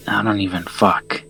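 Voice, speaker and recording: Low-voiced, profane man chatting over music. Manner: profane, slangy, self-deprecating. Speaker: podcast co-host recapping a film. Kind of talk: casual film discussion.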